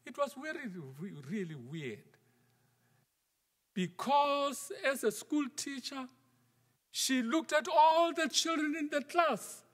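A middle-aged male minister preaching a sermon from the pulpit, in a measured style that sometimes drops to a near whisper for emphasis. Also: very high voice, animated, fairly bright tone. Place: large wooden church sanctuary, at the pulpit. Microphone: pulpit microphone. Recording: long church reverb.

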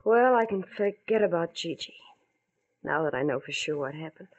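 Husky, world-weary noir dame. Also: female voice, lowish voice, animated, normal speed, lo-fi sound. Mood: uneasy, wistful. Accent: American. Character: weary, tough but lovelorn young dame. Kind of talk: 1940s radio noir acting. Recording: old radio drama recording.

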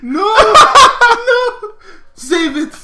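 A man playing a soccer game with a friend, excitably commentating and laughing as he goes. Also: extremely high pitch, extremely slow. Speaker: gaming channel co-host. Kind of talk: casual gameplay commentary. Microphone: desk mic, no camera.

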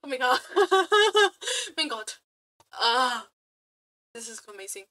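A woman streamer giving casual live reactions, excitable, scattered and exclamatory, with some swearing. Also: high voice, slow. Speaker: streamer reacting to game trailers. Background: background music.

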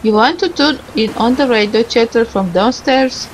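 A woman's voice: on the high side, moderate pace, slight background noise, rough recording. A woman doing casual game commentary, reading a visual novel's dialogue aloud and stumbling over some words with self-corrections and asides. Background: music.

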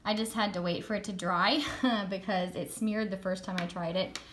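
Hoarse, chatty woman reviewing books. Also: mid-range voice, fairly even intonation, normal speed, background noise. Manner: chatty and enthusiastic, with fillers. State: hoarse from a cold. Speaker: homeschool curriculum reviewer. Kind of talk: casual show-and-tell review. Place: home room with a world map.

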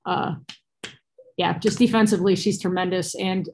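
American woman, thirties, basketball coach, low voice, even intonation, matter-of-fact, colloquial, video-call press conference. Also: normal speed, slight background noise. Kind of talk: postgame press conference answers. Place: small cinder-block room indoors. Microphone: laptop or phone on a video call.

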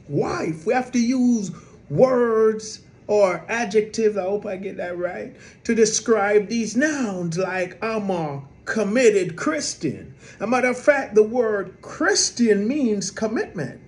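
A soft-voiced man delivering a sermon, his pitch riding high and swinging widely. He takes his time, and he talks to the congregation in a chatty, easygoing way. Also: slight background noise. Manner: lively, conversational preaching with fillers. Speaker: preacher.

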